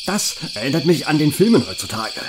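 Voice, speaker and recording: A man's voice: a little high, very animated, a little fast, noisy background. A man playing a jittery, talkative camper in a self-aware horror-comedy audio play. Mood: wry. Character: jittery, talkative male camper and flustered story writer. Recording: music behind the voice.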